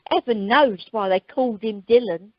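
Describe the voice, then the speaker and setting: A woman's voice: a little high, normal speed, no room sound, close to an everyday voice. A woman playing a brash, earthy married holidaymaker, chatty and blunt, in an amateur radio comedy recorded over the telephone. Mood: blithe. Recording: dull, dry telephone conference recording.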